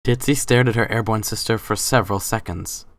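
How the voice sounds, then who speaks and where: A man's voice: on the low side, fairly quick, no room sound. A man reading scripted third-person story narration as the narrator of a dramatic reading.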